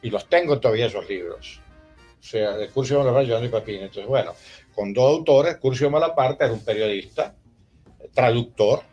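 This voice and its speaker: A man's voice: mid-range voice, fairly quick, a little mellow, slightly rough, highs cut off, soft background music. An older man from Venezuela reminiscing conversationally in a discursive, anecdotal way. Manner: discursive, anecdotal, often tagging phrases with a questioning check. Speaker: interviewed historian and former university rector. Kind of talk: conversational reminiscence at a public talk.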